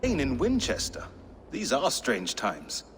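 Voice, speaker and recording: A wry, foul-mouthed medieval reeve in a video game. He speaks at a middling pitch with sardonic defiance, and there is clear noise behind him. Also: huge pitch swings, slow pace. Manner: salty, sardonic, colourful. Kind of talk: dramatic video game acting.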